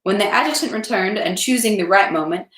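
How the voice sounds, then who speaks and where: Female amateur reader for literary narration, medium pitch, slightly unpolished delivery, recorded in a reverberant home room. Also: lively intonation, fairly quick, a bit bright. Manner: unpolished amateur read-aloud, stumbles and rereads. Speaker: reader of a read-aloud series. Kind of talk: reading a classic novel aloud. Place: indoors at home, echoey room.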